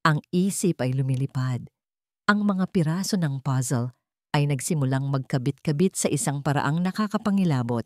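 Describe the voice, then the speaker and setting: A Filipino woman narrates a scripted drama story. Her voice sits a little low for a woman, and the sound is dry, with hardly any room on it. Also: animated, normal speed, dry recording.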